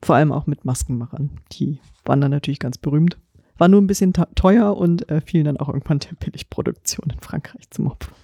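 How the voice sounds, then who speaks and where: Mellow woman with a creaky edge. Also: deep voice, fast, no echo, clean studio sound. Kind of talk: casual chat, recounting memories and facts.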